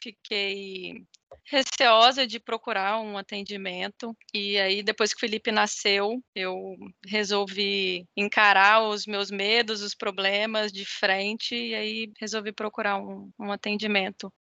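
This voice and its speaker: A woman, a doctor, speaking as a podcast guest in a soft, hesitant and candid way. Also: medium pitch, flat delivery, measured pace, gravelly, no echo. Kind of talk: casual chat. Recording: dry, slightly muffled sound.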